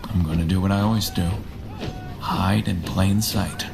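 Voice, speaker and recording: A calm, resolute male hero from a pulp radio drama. His voice is on the low side, with music clearly playing behind him. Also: normal speed. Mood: confident.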